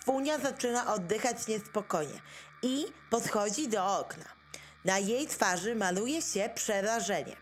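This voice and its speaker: A woman's voice: medium pitch, lively intonation, measured pace, a little hiss or hum. A woman narrating a scripted audio drama as its storyteller. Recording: music behind the voice.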